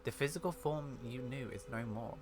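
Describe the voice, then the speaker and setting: A man's voice: medium pitch, moderate pace, noisy background. A man reading a game's quest dialogue aloud in a storytelling manner, acting out the characters' lines. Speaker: gaming YouTuber.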